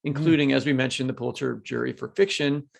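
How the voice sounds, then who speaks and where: A man's voice: medium pitch, fairly even intonation, quick pace, vocal fry, slight background noise. A man co-hosting a literary podcast, chatting casually and reading out award nominees with small asides. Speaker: podcast co-host. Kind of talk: podcast conversation, reading lists.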